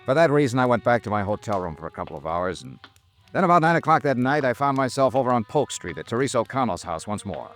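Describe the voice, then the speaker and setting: An actor playing a weary hardboiled American investigator with a soft spot, in 1950s radio detective drama style. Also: male voice, mid-range voice, sing-song, fast, soft background music. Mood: grim narration. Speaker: lead actor and first-person narrator.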